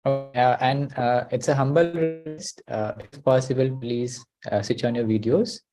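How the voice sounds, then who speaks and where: A man's voice: mid-range voice, fairly even intonation, normal speed, music in the background, poor audio. A man hosting an online class over a video call. He talks hesitantly, with many uh and you know.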